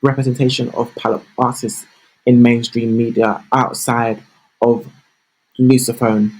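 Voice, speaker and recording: A man's voice: medium pitch, level tone, measured pace, darkish tone, slightly rough, poor audio. A man hosting a music show, reading prepared questions to his guest in an upbeat tone and praising her freely. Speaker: podcast host, interviewer. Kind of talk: scripted interview questions.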